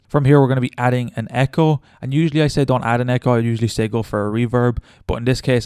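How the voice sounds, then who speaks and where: A man presents a casual software tutorial. He talks briskly in a smooth, clean voice, explaining each step informally. The sound is close and dry. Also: mid-range voice, dry recording. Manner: informal, instructional. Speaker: tutorial host.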